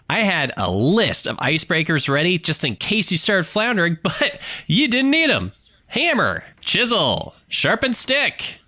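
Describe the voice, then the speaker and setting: An actor playing a smarmy, flirtatious, wisecracking radio producer in an audio comedy, teasing and theatrical with oily charm. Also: male voice, on the high side, sharp tone, no room sound, studio quality, full character voice. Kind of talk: audio comedy drama acting.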